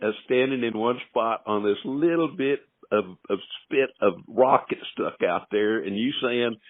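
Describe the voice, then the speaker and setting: A man's voice: medium pitch, measured pace, no echo. An older man hosting a podcast, reminiscing with a guest, laughing often and repeating his words. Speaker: podcast host. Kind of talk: casual interview chat. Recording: dry, narrow phone-like podcast audio.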